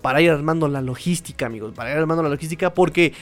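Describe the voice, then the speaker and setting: Casting: Mexican male sports podcaster, fairly high voice, informal solo commentary with colloquial, chatty delivery. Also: rapid-fire, soft background music.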